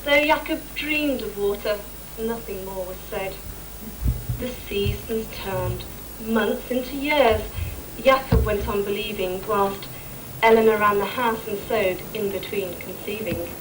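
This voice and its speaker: A woman's voice: medium pitch, unhurried. A woman narrating a stage pantomime in scripted rhyming verse. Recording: distant microphone, clear background noise.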